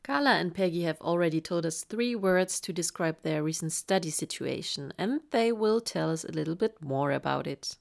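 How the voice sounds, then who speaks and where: A woman hosting an education podcast, reading a measured, upbeat introduction. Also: on the low side, animated, vocal fry, soft background music. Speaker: podcast host. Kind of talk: scripted introduction.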